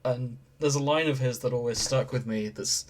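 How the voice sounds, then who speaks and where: A man's voice: medium pitch, normal speed, a little hiss or hum. A man co-hosting a podcast in a casual, jokey, giggly way. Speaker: podcast co-host. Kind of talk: casual chat.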